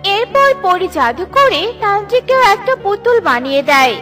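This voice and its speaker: A woman's voice: very high-pitched, sing-song, moderate pace, pushed and bright, faint music behind. A woman storyteller giving scripted narration for a children's cartoon.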